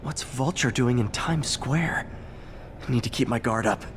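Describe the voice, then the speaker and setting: A man's voice: mid-range voice, normal speed, slight background noise, lightly acted. A man playing an earnest young superhero in a video game, thinking aloud in a conversational way. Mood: puzzled, focused. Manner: conversational, musing to himself. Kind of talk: naturalistic video game acting.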